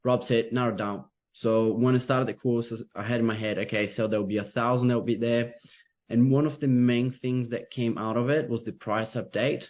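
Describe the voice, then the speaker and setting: A young Brazilian man speaks English with a clear Portuguese accent. He talks with upbeat energy and loose phrasing, full of fillers. The video call audio is dull and lo-fi, and his pitch sits in the middle range. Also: steady tone, moderate pace. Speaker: real estate agent. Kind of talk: interview over video call.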